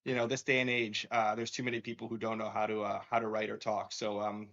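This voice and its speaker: A man's voice: lowish voice, level tone, fast talker, fairly bright tone. A man hosting a casual sports betting show, upbeat and laughing, with many fillers. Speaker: show host. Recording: dull lo-fi sound.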